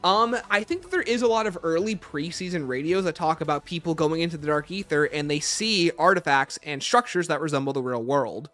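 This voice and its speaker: A man's voice: fairly high pitch, animated, fairly quick, hardly any pauses, fairly bright tone, faint music behind. A man hosting a livestream, reading out chat questions and answering them informally while thinking aloud. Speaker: livestream host.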